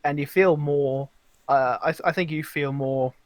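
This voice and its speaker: A man's voice: a little high, fairly even intonation, a little slow, no room sound. A man co-hosting a podcast in casual chat, hesitant and often searching for his words. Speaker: podcast co-host.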